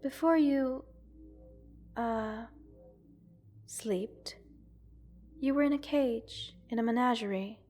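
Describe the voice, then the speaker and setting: A gentle, curious alien researcher, acted by a woman in an ASMR roleplay, with a fairly high voice. She speaks very slowly, in formal and precise wording, softly reassuring the one she studies. Music plays underneath. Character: gentle, curious multi-world alien scientist studying a captive human. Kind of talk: ASMR roleplay, addressing the listener.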